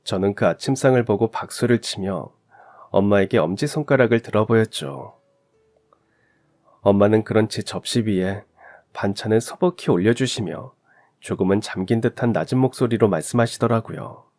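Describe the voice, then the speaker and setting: Low-voiced man narrating a story. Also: normal speed, a little mellow. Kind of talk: scripted first-person story narration, radio drama.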